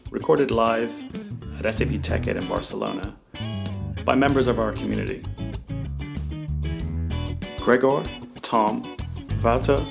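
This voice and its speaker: A man's voice: mid-range voice, big pitch swings, measured pace, vocal fry, long pauses, over music, pitched below the performer's own voice, exaggerated voice. A man reading a scripted, laid-back podcast intro.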